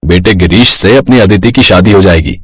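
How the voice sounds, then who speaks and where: A man acts out a middle-aged father and husband in an animated family tale. He explains things calmly and reassuringly in a husky voice. The recording is dry. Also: sits low, no room sound. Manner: measured, explanatory. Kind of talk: animated moral-story voice acting.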